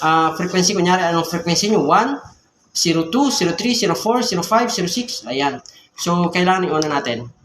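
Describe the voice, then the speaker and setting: A man's voice: sits high, lively intonation, moderate pace, fairly bright tone. Filipino man vlogging in an echoey room. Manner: repeats check words like "alright" and "okay", explanatory. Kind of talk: casual unboxing and tutorial. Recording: echoey room with background noise and clicks.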